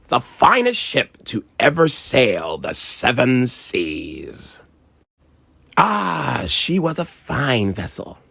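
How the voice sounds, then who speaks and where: A gruff old pirate captain's ghost spins a children's storybook tale. The voice is gravelly and swings widely in pitch, unhurried and nostalgic, thick with piratical arrs. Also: fairly bright tone, no room sound, full character voice. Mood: proud, nostalgic. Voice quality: gravelly, growling.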